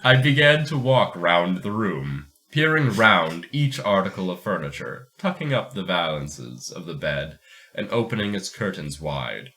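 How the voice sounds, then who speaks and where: A man's voice: low-pitched, very animated, unhurried, pushed and bright. A man co-hosting a podcast, moving between casual chat and dramatic reading of a story.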